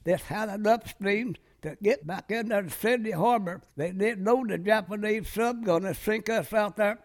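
A man's voice: high voice, normal speed. A very old American man, a war veteran in his nineties, reminiscing in a rambling, folksy way with clipped, loose sentences. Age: very old, 90s. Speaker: war veteran being interviewed. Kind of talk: oral-history reminiscence.

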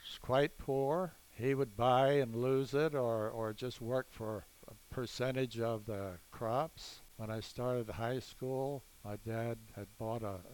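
A man in his nineties who grew up in California, recalling his past in a plain, unhurried and modest way. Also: on the low side, a little mellow, a little hiss or hum, rough recording. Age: 90s. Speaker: interviewee, veteran. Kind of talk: casual recollection.

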